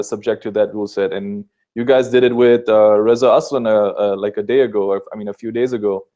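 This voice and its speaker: A man's voice: on the low side, mellow, dull audio. A man from Sri Lanka answering interview questions in an earnest, explanatory way, with many filler sounds. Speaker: guest answering questions about Islam.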